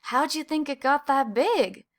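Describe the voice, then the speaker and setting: An eager young field mouse from a children's storybook, voiced by a woman, speaks in a high, friendly voice. His lines are emphatic and expressive. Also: unhurried. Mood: curious, admiring.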